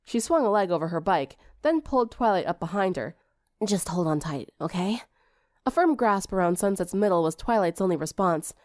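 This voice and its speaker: Female narrator, mid-range pitch, scripted story reading, audiobook style, very dry recording. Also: animated, a little fast, hardly any pauses, no room sound.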